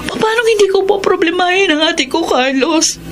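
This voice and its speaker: A woman's voice: very high voice, animated, normal speed. A Filipino actress playing an aggrieved, bitter young girlfriend in a melodramatic radio drama. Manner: melodramatic, plaintive. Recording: loud music under the voice.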